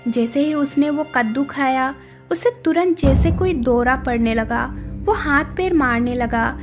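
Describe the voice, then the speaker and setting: A woman narrates a scripted moral tale. Her voice sits high, and light music plays underneath. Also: unhurried. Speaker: story narrator.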